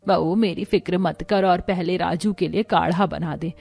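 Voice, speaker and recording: A woman's voice: fairly low pitch, steady tone, moderate pace, vocal fry, a little mellow. A woman playing a poor, kindly old mother-in-law in a cartoon story, speaking with weary resignation and care. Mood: caring, resigned. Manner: resigned, caring. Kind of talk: cartoon moral-story dialogue.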